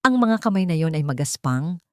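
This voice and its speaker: A Filipino woman narrates a dramatic love story in a low register. The recording is dry, with very little room sound. Her delivery is scripted storytelling. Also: animated, brisk, no echo.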